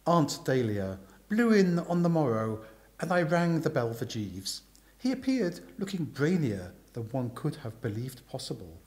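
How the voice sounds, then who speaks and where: A man reading a comic story aloud as an expressive literary narrator. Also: medium pitch, measured pace. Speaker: story reader.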